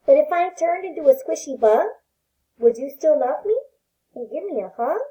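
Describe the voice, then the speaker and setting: A woman's voice: high-pitched, expressive, normal speed, mellow, dull audio, voice pushed up. A woman voicing a small, grumpy, needy young creature from a picture book, in a childlike, plaintive, questioning way. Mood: anxious, questioning.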